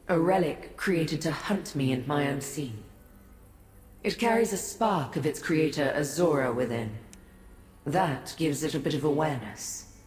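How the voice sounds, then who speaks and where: An actress playing a solemn, weary female deity in a fantasy game, speaking in a grave, formal, measured manner. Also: low voice, darkish tone. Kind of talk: scripted fantasy game dialogue. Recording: echoey reverb, faint noise.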